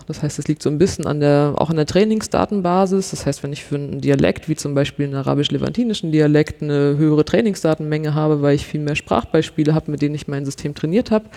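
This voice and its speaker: A man speaks in a relaxed, chatty way, filling his sentences with little hedging words. His voice sits a bit high for a man but sounds mellow and warm. He comes across as a wry journalist explaining his work. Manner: chatty, many fillers like irgendwie and so, wry. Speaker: investigative journalist guest. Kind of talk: casual conversational interview.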